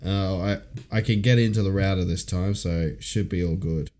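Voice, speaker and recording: A man co-hosting a podcast in casual chat, easygoing and quick to laugh. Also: deep voice, steady tone, a little mellow. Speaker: podcast co-host.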